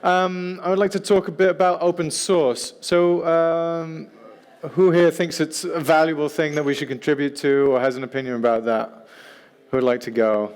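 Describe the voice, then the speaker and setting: A man's voice: a little high, level tone, moderate pace, a little mellow, clear, resonant tone. A man moderating a panel discussion, putting unscripted questions to the speakers.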